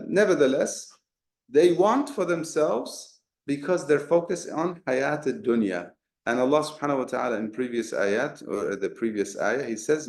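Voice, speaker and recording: Male Quran teacher, mid-pitched, earnest explainer, lots of rhetorical questions, slight fry, roomy echo. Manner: mixes Arabic terms into talk. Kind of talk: explanatory religious lesson. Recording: echoey room.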